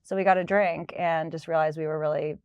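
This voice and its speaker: Low-voiced woman telling her career story. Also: fairly even intonation, a little fast, darkish tone, clean tone, dry recording, muffled recording. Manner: fluent, storytelling, light laughs. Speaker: director of sustainability. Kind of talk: casual interview. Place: office.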